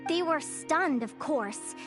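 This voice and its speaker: A woman's voice: high voice, animated, slow, music in the background. A woman playing an earnest, bookish young fae naturalist who tells her story in an articulate, thoughtful way, as video game dialogue. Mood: reflective.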